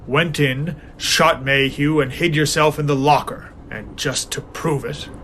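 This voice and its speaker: A man's voice: fairly high pitch, a little slow, slight background noise. A man voicing a cool-headed male police inspector who solves a killing aboard a train. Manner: calm, matter-of-fact.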